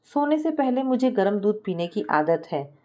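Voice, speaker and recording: A sweet, clingy young bride played by a woman narrator in a family story. She coaxes and pleads like a pampered child, in a soft, mellow voice. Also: medium pitch, sing-song, normal speed, natural voice. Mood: coaxing, pleading. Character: young, affectionate, demanding daughter-in-law.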